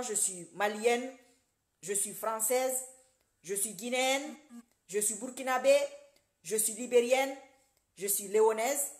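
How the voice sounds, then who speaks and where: Preachy, animated woman in an echoey room. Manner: animated, preachy, addresses viewers directly; jumps between loud emphatic bursts and low muttered asides. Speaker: social media commentator. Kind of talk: casual political monologue to followers.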